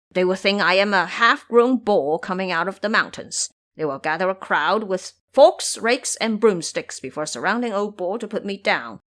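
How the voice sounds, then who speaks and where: A woman's voice: medium pitch, a bit bright. A woman voicing a foolish, lazy male pig monk in an audio drama, complaining and blustering.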